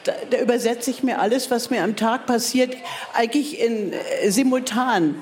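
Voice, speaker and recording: A woman's voice: a little high, normal speed, darkish tone. Older Berlin writer woman, hesitant, wry, self-mocking interview answers. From East Germany. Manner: hesitant, many fillers and restarts. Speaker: writer and guest speaker.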